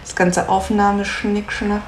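A woman in her twenties from Munich chats easily as a podcast co-host, laughing often and filling pauses as she goes. Her voice has a roomy echo with a faint hiss behind it. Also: mid-range voice, level tone. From Munich, Germany. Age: 20s. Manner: chatty, laughs easily, frequent filler sounds. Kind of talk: casual chat. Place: at home in Munich. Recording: echoey room with faint noise.

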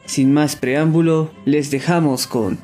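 A man's voice: a little high, steady tone, slow, mellow, soft background music. A man hosting a podcast and reading a scripted introduction. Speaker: podcast host.

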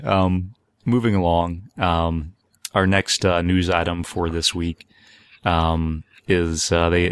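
A male podcast host with a very low voice that often drops into fry. He talks casually and meanders, pausing to find his words. The sound is dry. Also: no room sound.

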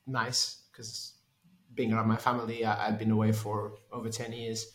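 A man's voice: fairly low pitch, level tone, normal speed, fairly bright tone, roomy sound. A man from Portugal in his thirties, a race engineer answering interview questions in a hesitant way with many restarts. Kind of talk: conversational interview.

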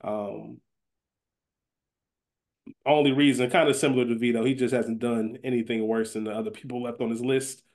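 A man's voice: mid-range voice, fairly even intonation, moderate pace, pauses often, darkish tone. A man chatting casually as a podcast co-host, explaining things in an informal, slangy way. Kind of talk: casual chat, fantasy draft banter.

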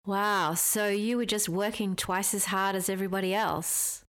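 A woman's voice: medium pitch, steady tone, normal speed, a bit bright. A woman hosting a podcast interview, polite and well prepared. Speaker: podcast host. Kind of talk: hosted interview.

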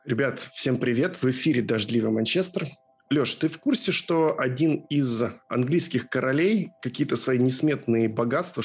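A man's voice: medium pitch, husky. A man co-hosting a casual football podcast, chatty and playful as he sets up topics and teases his co-host.

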